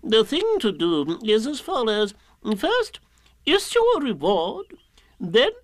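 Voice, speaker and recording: Pompous, wordy storybook owl: high, swooping pitch, slow and fussy delivery, dry close recording. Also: male voice, huge pitch swings, no echo, exaggerated voice. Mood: pompous, insistent. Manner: pompous, formal, drawn-out delivery.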